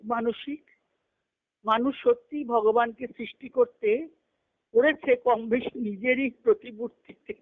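A woman giving a spiritual discourse as a teacher, repeating and rephrasing key phrases as she explains. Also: fairly high pitch. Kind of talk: spiritual lecture, reading quotations and explaining them. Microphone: conference call. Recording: muffled lo-fi conference call audio.

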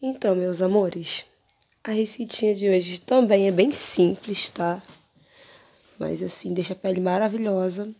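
A Brazilian woman in her thirties, hoarse from an allergy, chatting casually to her viewers from her bedroom with a phone camera close to her face. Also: medium pitch, fairly even intonation, a little slow, mellow, slight background noise. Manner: chatty, hesitant, many fillers. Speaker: beauty vlogger. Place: bedroom at home, lying on pillows.

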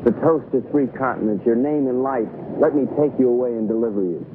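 A young actor playing a self-deluded, earnest secret agent in a 1960s film comedy, with deadpan delivery. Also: male voice, mid-range voice, a little fast, lightly acted. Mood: earnest wooing. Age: young adult. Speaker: actor and narrator. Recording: muffled, noisy old film soundtrack.

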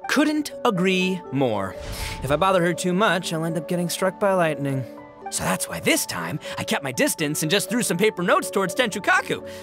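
A brash, cocky oni rocker. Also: male voice, high voice, very animated, normal speed. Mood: boisterous. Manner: loud, cocky and boisterous. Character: brash young horned oni man, boastful rocker. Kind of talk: video game voice acting. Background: game music.

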